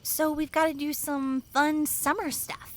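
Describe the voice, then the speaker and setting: A woman's voice: high-pitched, little pitch movement, measured pace. A woman playing a bubbly, easily frightened teenage girl counselor, perky and exclamatory, in an audio drama.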